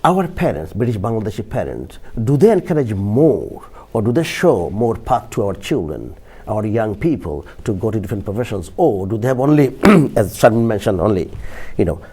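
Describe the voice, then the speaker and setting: A man's voice: on the low side, sing-song, moderate pace, hardly any pauses, mellow, husky. A man hosting a television panel discussion, putting questions to his guests in a conversational way. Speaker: TV talk show host.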